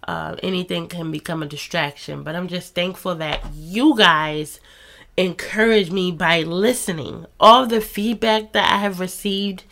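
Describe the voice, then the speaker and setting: Slow-talking congested woman podcast host. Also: medium pitch. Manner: warm, chatty and encouraging, with soft laughs. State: congested from a cold. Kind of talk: casual faith podcast monologue.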